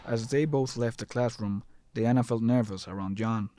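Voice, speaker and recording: A man's voice: medium pitch, normal speed, noisy background. A man reading scripted narration for an audio drama.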